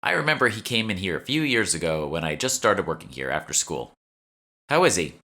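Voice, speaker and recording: A man's voice: deep voice, sing-song, fairly quick, sharp tone, exaggerated voice. A man reading an audiobook, voicing a chatty, eager young female shopkeeper who is polite and salesy. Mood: curious. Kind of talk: audiobook character dialogue.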